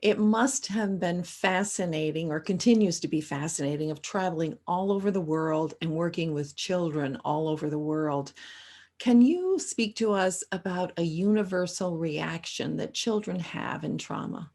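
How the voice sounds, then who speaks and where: Lower-pitched woman hosting an interview. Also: a little mellow. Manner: polite, measured questioning. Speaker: host. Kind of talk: interview questions.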